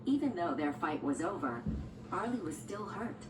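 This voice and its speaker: A woman's voice: fairly low pitch, very animated, normal speed, background noise. A woman reading a scripted children's storybook as narrator. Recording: echoey, distant, music behind.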